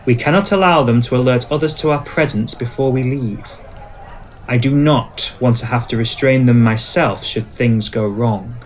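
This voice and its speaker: A man playing an ancient, suspicious male vampire in an ASMR roleplay, speaking in formal, measured, commanding phrases. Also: mid-range voice, a little hiss or hum, lightly acted. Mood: stern, wary. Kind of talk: scripted ASMR roleplay acting.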